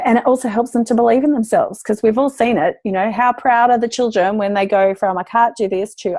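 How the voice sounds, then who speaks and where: Woman, a bit hoarse today, chatty parenting educator, mid pitch, dry close sound. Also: a little mellow, dry recording. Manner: chatty, encouraging, lots of fillers. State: losing her voice. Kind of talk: casual talk to parents.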